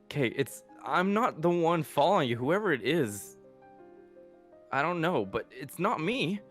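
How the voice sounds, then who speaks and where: A man playing a reformed young villain in an improvised game roleplay, meek, earnest and halting as he pleads. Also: a little high, very animated. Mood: defensive, pleading. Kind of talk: improvised Minecraft roleplay acting. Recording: music behind the voice.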